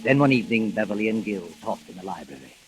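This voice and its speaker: A man's voice: lowish voice, lively intonation, fast talker, a little hiss or hum. A man narrating a 1940s radio mystery as announcer, in a formal, dramatic scripted style. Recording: old radio recording with loud music.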